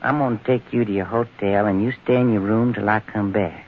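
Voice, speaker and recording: A man's voice: lowish voice, fairly even intonation, fairly quick. Folksy, smitten tough guy, muffled. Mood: smitten, reassuring. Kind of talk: 1940s radio adventure acting. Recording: dull lo-fi old radio, faint noise.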